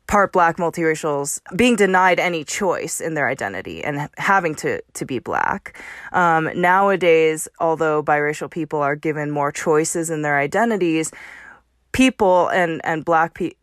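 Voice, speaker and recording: A woman professor answers interview questions in a careful, academic manner. Her voice is very low for a woman, and the recording is dry. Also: dry recording.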